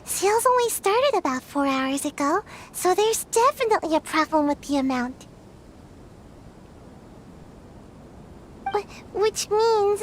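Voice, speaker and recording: A woman playing an excitable, naive little girl in an English-language video game. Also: very high voice, a little hiss or hum. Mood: excited. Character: excitable childlike little girl, naive, eager and sleepy-headed. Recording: game music clearly playing behind the voice. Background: video game music.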